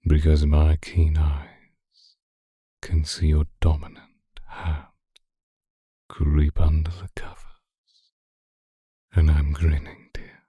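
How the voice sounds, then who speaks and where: A man playing an obsessive, seductive vampire in an intimate, hushed ASMR roleplay, speaking deliberately and often whispering close to the microphone. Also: no room sound, full character voice. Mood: teasing, possessive. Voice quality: very deep, breathy, husky, often whispering. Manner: slow, deliberate, hushed, formal wording. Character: seductive male vampire stalker, obsessive and predatory. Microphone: close microphone, dry sound.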